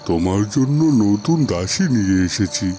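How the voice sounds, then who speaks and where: A proud cartoon king, played by a man with a mellow, swooping voice. Crickets and faint noise sit behind him. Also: fairly low pitch, lively intonation, moderate pace, close to an everyday voice. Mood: pleased. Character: proud king presenting a servant to his queen. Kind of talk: dramatic cartoon storytelling.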